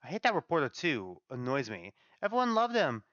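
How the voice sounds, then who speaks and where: A man acts out an angry, grieving story character, blunt and exasperated. The voice is smooth and swings widely in pitch, recorded dry. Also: very animated, moderate pace, sharp tone, dry recording, dull audio. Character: bitter, angry, blunt mourner of a dead friend. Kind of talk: dramatic read-aloud of a story.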